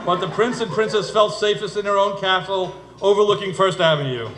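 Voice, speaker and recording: Middle-aged male, fairly high voice, dry humorous wedding speaker, recorded in a reverberant room. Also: fairly quick. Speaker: father of the bride giving a speech. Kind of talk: humorous wedding speech told as a fairytale. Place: indoor wedding reception venue. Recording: echoey room, noisy event ambience.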